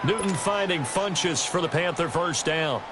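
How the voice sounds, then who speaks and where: A male actor playing a brisk, polished American play-by-play announcer in a football video game, calling the action in broadcast style. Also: on the high side, expressive, crowd noise, noisy background, lightly acted. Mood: brisk, focused.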